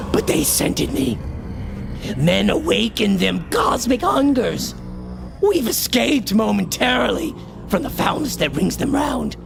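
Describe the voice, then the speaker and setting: A shrill, creaky-voiced frantic occultist. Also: male voice, a bit bright, full character voice. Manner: theatrical, grandiose, drawn-out shrieked declamation. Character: frantic obsessed male occultist raving about cosmic horrors. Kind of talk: melodramatic horror radio theater acting.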